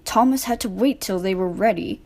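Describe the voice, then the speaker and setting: A woman's voice: mid-range voice, moderate pace, a little mellow. A woman narrating a scripted children's storybook.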